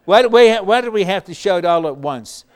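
A man's voice: sits high, animated, fairly quick, smooth, voice pushed up. A man voicing a grumbling Israelite soldier who asks whiny, complaining questions about his orders.

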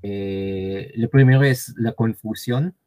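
A man from Taiwan with a Taiwanese accent, a guest speaking Spanish as a learner, halting with many fillers and restarts. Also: on the low side, dark tone, dry recording. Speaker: interview guest. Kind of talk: casual conversation.

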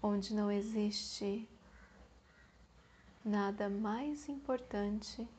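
Slow, mellow Brazilian woman guiding meditation. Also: mid-range voice, a little hiss or hum. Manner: slow, soothing, with long pauses between short phrases. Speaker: meditation guide. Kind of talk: guided meditation.

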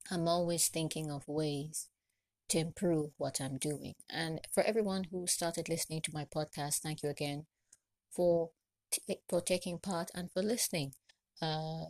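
Low-voiced woman podcasting. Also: a little mellow, dry recording. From Trinidad and Tobago. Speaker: podcast host, chef and caterer. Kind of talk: solo podcast talk, motivational personal story.

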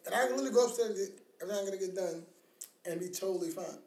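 Echoey, mellow man chatting casually. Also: sits high, racing. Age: 30s. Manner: slangy, swearing, teasing banter. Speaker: podcast co-host. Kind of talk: casual chat about parenting. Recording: roomy, echoing sound.

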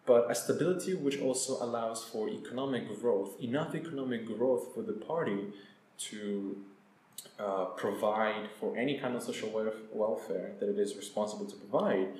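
A young man from Italy, a graduate guest answering interview questions in a measured way, with frequent filler pauses. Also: fairly low pitch, darkish tone. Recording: echoey room, faint hiss.

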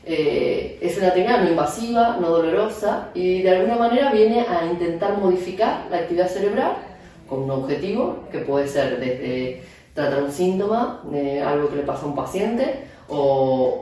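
A woman's voice: low-pitched, warm tone. A woman with an Argentine accent, a neurologist explaining her work in an interview with frequent fillers and restarts. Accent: Argentine Spanish. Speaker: neurologist guest. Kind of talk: interview answers. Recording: echoey room, distant mic.

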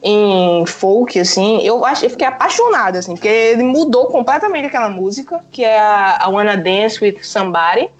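A woman's voice: mid-range voice, lively intonation, moderate pace, hardly any pauses. A woman with a Northeastern Brazilian accent talks casually about music as a podcast host, chatty and enthusiastic. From Pernambuco, Northeast Brazil.

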